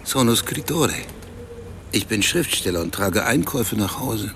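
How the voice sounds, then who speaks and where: An actor playing a laconic, teasing Romanian street porter in a radio drama, mixing in Italian words, over faint street noise. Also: male voice, fairly low pitch, lively intonation, a little slow, a bit bright. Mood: calm teasing. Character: Romanian man, street porter, calm, sly.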